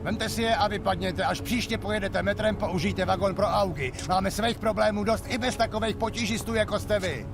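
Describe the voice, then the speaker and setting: An actor playing an angry, bullying state police officer in a video game, scolding and commanding. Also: male voice, high voice, a little fast, vocal fry, few pauses, background noise. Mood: contemptuous. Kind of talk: video game cutscene acting. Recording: music behind the voice.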